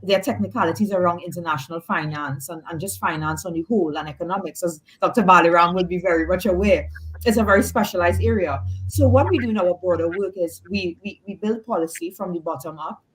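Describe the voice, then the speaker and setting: A woman's voice: fairly low pitch, quick pace, slight background noise, poor audio. A woman with a Trinidadian accent, a guest on a radio talk show, explaining research earnestly with some restarts. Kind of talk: radio interview.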